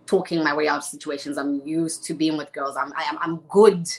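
A young woman from Zimbabwe, a guest in an interview, talking in a lively, chatty way with many restarts. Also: sits low, fairly quick. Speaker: interview guest, former reality show contestant. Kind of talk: casual chat.

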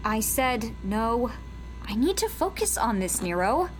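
A woman's voice: a little high, sing-song, a little slow, a little hiss or hum. A woman playing a guarded, pragmatic rebel in a sci-fi radio drama, curt, wary and matter-of-fact. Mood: irritated. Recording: faint music under the voice.

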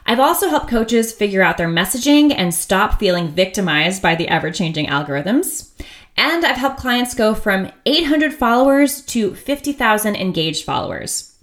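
A woman's voice: mid-range voice, very animated, normal speed, fairly bright tone. A woman in her thirties from the United States hosting her own podcast, talking in a chatty, upbeat and candid way with frequent laughs. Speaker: podcast host. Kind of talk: casual solo podcast monologue.

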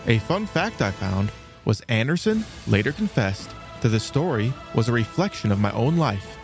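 A man hosting and narrating a scripted children's storybook programme in a theatrical storytelling manner. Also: mid-range voice. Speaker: host and narrator.

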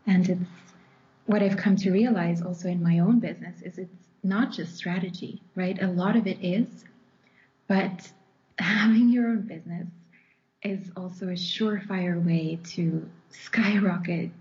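Woman, German first language, low warm voice, dull lo-fi sound, laughs easily, casual podcast guest. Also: steady tone, unhurried. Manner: laughs often, says "right?" a lot. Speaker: guest, subconscious-belief coach.

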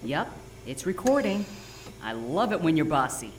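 A woman's voice: low-pitched, big pitch swings, moderate pace, slight background noise, rough recording. Casting: playful female accomplice, swooping intonation, audio drama with faint background ambience. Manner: playful, teasing. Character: cheeky, mischievous female sidekick with powers.

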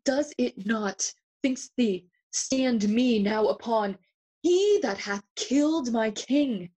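A woman playing a brooding, mocking young Shakespearean prince in an audio play, theatrical and deliberate, moving between English and Spanish. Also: on the high side, animated, warm tone, husky, heavily acted. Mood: indignant.

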